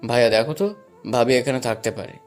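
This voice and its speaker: A man's voice: mid-range voice, fast talker, faint music behind, lightly acted. A man playing a bold, urgent young man on a rescue mission in an audio story. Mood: cautious. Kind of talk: Bengali audio story acting.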